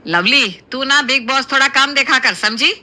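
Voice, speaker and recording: A woman's voice: sits high, normal speed, bright, forceful tone, voice pushed up. A woman voicing a middle-aged Indian mother in a cartoon story, scolding and placating with exclamations. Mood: exasperated. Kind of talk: Hindi cartoon story voice acting.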